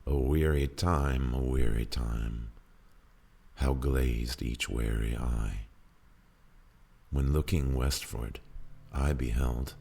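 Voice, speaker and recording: A man's voice: a bass voice, creaky, breathy edge. A man giving a theatrical, brooding and emphatic dramatic recitation of poetry. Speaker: poetry reader and performer. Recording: music under the voice. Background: guitar music.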